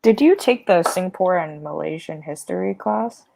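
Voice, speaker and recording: A woman's voice: fairly low pitch, animated, moderate pace, gravelly, dark tone, slight background noise. A young woman as a guest in a casual book discussion, asking questions in a tentative, hedging way.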